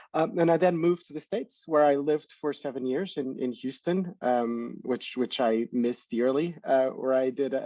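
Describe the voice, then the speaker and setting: A man's voice: mid-range voice, dark tone, no echo. A man in his thirties from Portugal, a startup founder explaining his research as a guest, with many restarts and repeated words. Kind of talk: interview answers. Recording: dull distant call audio.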